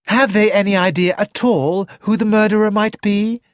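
A narrator voicing an energetic, practical young woman who reasons thoughtfully, in a dry studio recording. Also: medium pitch, no room sound, voice pushed up, natural voice. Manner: brisk, thoughtful.

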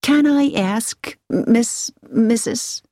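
A woman voicing a pleasant, sociable middle-aged American lady with an American accent who talks slowly and asks questions in an audiobook. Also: a little high, dark tone, dry recording, clean studio sound. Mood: friendly. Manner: slow, inquisitive and sociable.

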